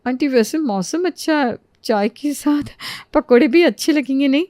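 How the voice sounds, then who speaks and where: A woman voicing a cheeky, flattering young neighbour wife in an animated moral story. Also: high-pitched, normal speed, no echo, clean studio sound, close to an everyday voice. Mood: chirpy, coaxing.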